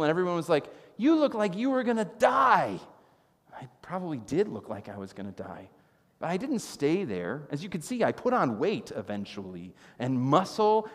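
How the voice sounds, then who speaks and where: A man in his thirties preaching a sermon from a church stage, telling stories with animated gestures. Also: sits high. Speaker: pastor. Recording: long reverb of a church hall.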